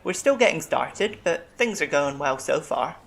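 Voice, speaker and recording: An actor playing a gentle, formal bear-like alien medic in a science-fiction audio drama. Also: medium pitch, lively intonation, vocal fry. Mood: polite, modest. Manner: polite, measured, formal.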